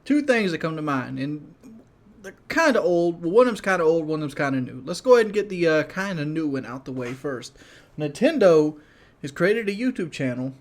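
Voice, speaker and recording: A man giving casual, rambling game commentary as a YouTuber, blunt and monotone, with frequent restarts. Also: a little high, fairly quick. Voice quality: self-described monotone.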